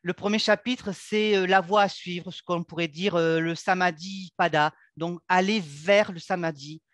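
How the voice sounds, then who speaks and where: A woman who teaches yoga, talking earnestly and haltingly about her practice, with many filler sounds and restarts. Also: on the low side, steady tone, dry recording, studio quality. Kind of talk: unscripted personal account.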